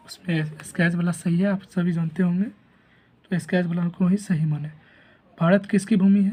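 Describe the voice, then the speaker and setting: A man with a sore throat and a rough voice, steadily reading exam questions and giving each answer and option like a teacher. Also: sits high, mellow. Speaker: teacher going over an exam answer key.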